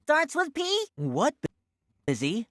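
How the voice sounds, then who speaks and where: A woman's voice: sits high, wildly swooping pitch, takes it slowly, a bit bright, dry recording, studio quality, exaggerated voice. A woman voicing an upbeat teacher character in a children's educational cartoon, with an exaggerated delivery for young children. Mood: excited, curious.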